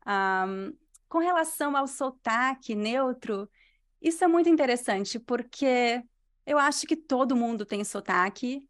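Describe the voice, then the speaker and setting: A Brazilian woman with a mixed Brazilian Portuguese accent, a language teacher answering interview questions in clear, unhurried speech. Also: a little high, expressive. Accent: Brazilian Portuguese, mixed regional. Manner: clear, unhurried diction, completes every phrase.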